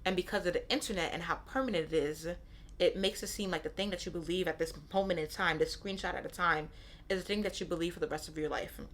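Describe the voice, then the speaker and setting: Solo podcast host, thirties, woman, lowish voice, frank and chatty, loops back on her phrases, slight hiss in the recording. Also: steady tone, fast, fairly bright tone. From born in Nigeria, raised in Brooklyn, New York. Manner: candid, repeats phrases, frequent "right?" asides. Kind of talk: casual solo podcast talk.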